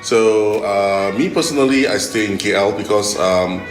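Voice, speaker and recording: A middle-aged man with a Malaysian accent and a fairly low voice explains things in an interview. He speaks haltingly, repeating words and checking in with "okay". The room is echoey, with faint music underneath. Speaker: interviewee, tech executive.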